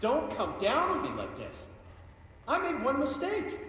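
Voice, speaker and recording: A man's voice: extremely high pitch, wildly swooping pitch, measured pace, fairly bright tone, full character voice. A man playing an awkward, defensive office clerk and fanboy in a video game, rambling and whiny. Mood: indignant, whiny. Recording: distant, very echoey hall, faint noise.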